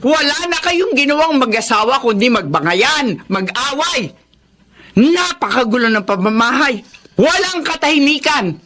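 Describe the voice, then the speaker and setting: A woman's voice: high-pitched, sing-song, normal speed, fairly bright tone, full character voice. An actress playing an exasperated, frank older mother in a Filipino radio drama, speaking emphatically and reproachfully.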